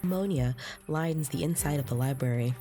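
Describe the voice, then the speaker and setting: An actress playing an earnest young trainee, narrating her story in the first person in a measured, descriptive way. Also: low-pitched, dark tone, soft background music, natural voice. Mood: tense, wry.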